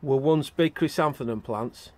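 A man's voice: medium pitch, normal speed. A man, casually interviewing someone from behind a handheld camera with curious, simple questions. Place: allotment greenhouse. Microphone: handheld camera, held by the speaker.

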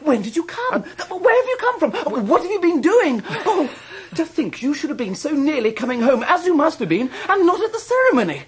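An actor playing an effusive, good-hearted young married man in a radio drama, with a husky voice. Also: very high-pitched, big pitch swings, moderate pace, hardly any pauses. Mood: overjoyed. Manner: effusive, exclamatory.

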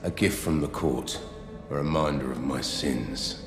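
Very deep, grim warrior. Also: male voice, measured pace. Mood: grim, blunt. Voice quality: very deep, weighty. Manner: terse, stern, deadpan. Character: grim branded criminal warrior, blunt and menacing. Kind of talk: fantasy game cutscene acting. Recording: roomy echo with music underneath.

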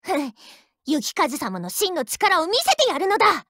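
Haughty little anime ship girl, mobile game. Piping high voice, lively inflection, bratty bragging, dry studio sound. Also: normal speed, no room sound, full character voice. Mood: smug, boastful. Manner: boastful, tsundere, ends sentences with a verbal tic. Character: haughty, childish warship girl with animal ears. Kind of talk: Japanese anime game character voice lines.

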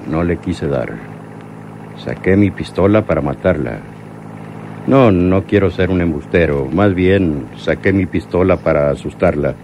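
An actor playing a menacing, lovesick crime boss in a radio drama, speaking deliberately and bitterly. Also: male voice, low voice, a little mellow. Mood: bitter, self-reproaching. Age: older. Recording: noisy old radio drama recording.

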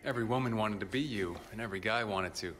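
Man voicing a smooth-talking flirt, flattering, smug, game music behind. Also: fairly low pitch, quick pace, lightly acted. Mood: flirty. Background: game score music.

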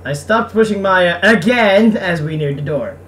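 A woman giving casual game commentary and reading game text aloud, speaking haltingly and repeating words. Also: on the low side, lively intonation. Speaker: game streamer. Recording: noisy, distant microphone.

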